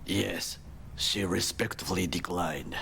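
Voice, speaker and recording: A stern, gravelly Japanese bodyguard. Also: male voice, low voice, sharp tone, exaggerated voice. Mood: grave, restrained. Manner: clipped, formal, deliberate phrasing. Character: stern, formal, honor-bound middle-aged Japanese bodyguard. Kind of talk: cinematic video game acting. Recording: noisy game ambience behind voice.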